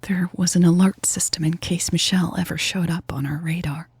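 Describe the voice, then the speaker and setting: A woman reader voicing a guilty, tearful woman who pleads with her lover softly and hesitantly in a romantic audio story. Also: fairly low pitch, fairly even intonation, a little fast, no room sound. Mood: soft, pleading. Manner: soft, hesitant, breathy confessing. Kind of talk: audio fiction dialogue reading.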